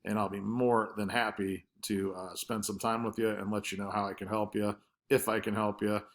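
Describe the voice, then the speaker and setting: A man with a somewhat low-pitched voice presents marketing work in an informal screen-share style. He explains things conversationally, feeling his way a little hesitantly from point to point. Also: level tone, moderate pace, a bit bright.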